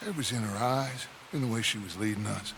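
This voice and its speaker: An actor playing a ruthless middle-aged outlaw gang leader in a video game, with an American accent and a theatrical, persuasive way of talking. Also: male voice, lowish voice, brisk, noisy background, close to an everyday voice. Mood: earnest, self-justifying. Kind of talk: video game cutscene acting. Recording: game music behind.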